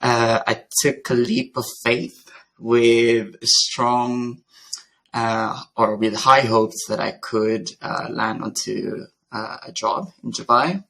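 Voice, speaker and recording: A man from the Philippines, a podcast guest, earnestly telling his story in a measured way. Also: medium pitch, level tone, gravelly, sharp tone. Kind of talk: conversational storytelling.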